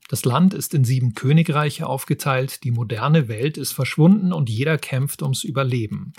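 A middle-aged man presents books as a podcast host. His voice sits at a medium pitch with a slightly rough, husky grain. The sound is dry and close, with hardly any room on it. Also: darkish tone, no echo. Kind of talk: book review talk, partly scripted.

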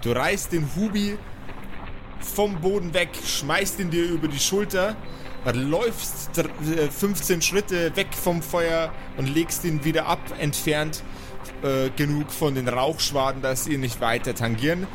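A man with a slightly high voice runs a tabletop role-playing game as game master. He narrates improvised scenes and calls for checks, with clear music playing behind him. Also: a little slow. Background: background music.

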